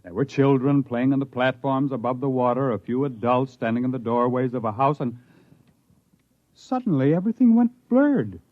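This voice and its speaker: Guy playing proud stranded space traveler, dramatic storyteller, lively pitch swings, old dull radio drama sound. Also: moderate pace, dry recording, natural voice. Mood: puzzled, indignant. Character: stranded human spaceman recounting his life among aliens, proud, intense. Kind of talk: 1950s radio drama acting. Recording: muffled vintage 1950s radio recording.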